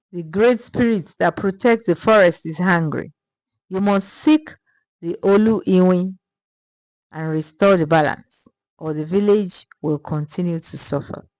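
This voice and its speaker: A woman voicing a wise village elder woman, solemn and gentle, with a measured, soft but firm delivery in a folktale. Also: mid-range voice, no echo, close to an everyday voice, poor audio.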